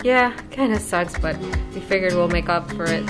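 A woman's voice: fairly low pitch, normal speed, music in the background. A woman, a bride, answering questions in an easygoing, plain way. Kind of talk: casual interview answers.

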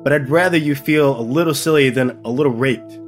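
Man playing a caring, steady boyfriend over music. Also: medium pitch, brisk, close to an everyday voice. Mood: stern, caring. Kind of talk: dramatized story dialogue.

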